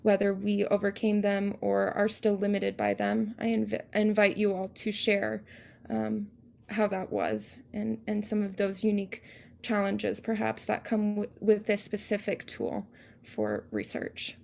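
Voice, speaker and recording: A woman moderating an academic discussion, speaking in a measured, deliberate way and inviting others to share. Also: mid-range voice, expressive, vocal fry, darkish tone. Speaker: panel moderator and researcher.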